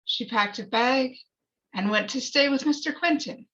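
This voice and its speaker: A woman playing a bitter, measured man testifying at his murder trial in a dramatic screenplay reading, on a lo-fi recording. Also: high voice, a bit bright. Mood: bitter, guarded. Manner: measured, defensive. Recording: dull, lo-fi recording.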